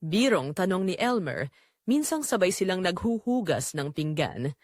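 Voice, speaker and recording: Expressive Filipino woman narrating a drama, dry sound. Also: on the low side, normal speed, no room sound. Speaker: storyteller and channel narrator. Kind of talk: scripted dramatic story narration.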